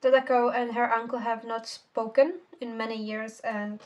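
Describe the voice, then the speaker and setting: A woman's voice: fairly high pitch, little pitch movement, moderate pace. A teenage girl whose first language is Czech, casually reviewing a book in a rambling, self-correcting way with casual swearing. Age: teens. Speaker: book vlogger.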